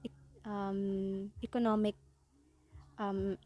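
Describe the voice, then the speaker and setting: A woman's voice: medium pitch, little pitch movement, slow pace, warm tone. A young woman from the Philippines with a Filipino accent, presenting a class report with frequent restarts and fillers. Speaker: student presenter.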